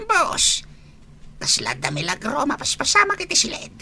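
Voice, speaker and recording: A gossipy underling, high and bright. The insinuating delivery swoops about in radio drama style over faint noise. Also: female voice, normal speed, exaggerated voice. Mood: sly. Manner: animated, insinuating. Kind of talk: Ilocano radio drama acting.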